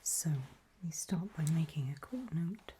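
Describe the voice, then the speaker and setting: A woman playing a calm, faintly sinister official in a whispered ASMR roleplay briefing, breathy, measured and politely ominous. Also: deep voice, slight background noise. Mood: calm, brisk.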